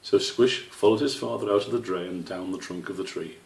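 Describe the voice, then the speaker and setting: A man's voice: lowish voice, a little fast, creaky, darkish tone. A man reading a children's story aloud as its narrator. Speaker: author reading own story. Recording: roomy, slightly distant microphone.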